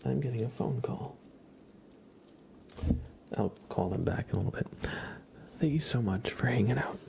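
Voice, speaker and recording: A man hosts an ASMR livestream in a hushed, breathy half-whisper with a gravelly creak to it. His delivery is gentle and unhurried, close to the mic, over faint background noise. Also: mid-range voice. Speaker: livestream host, ASMR streamer.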